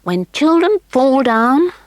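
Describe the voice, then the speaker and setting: A woman putting on a proud little girl with a cold heart, prim and grudging. The voice sits high and bounces with lively pitch, recorded close and dry. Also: takes it slowly, no echo, full character voice. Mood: resolute.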